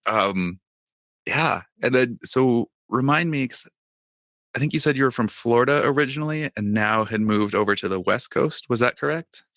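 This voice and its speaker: A man's voice: mid-range voice, normal speed, fairly bright tone, no echo, studio quality. A man co-hosting a podcast in casual chat, rambling with lots of fillers and restarts. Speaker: podcast co-host.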